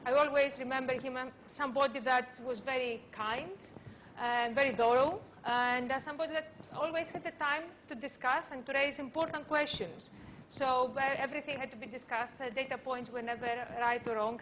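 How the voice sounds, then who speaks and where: A woman lecturer giving an academic conference talk, speaking in a halting way with frequent filler sounds. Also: high voice, fairly bright tone, a little hiss or hum.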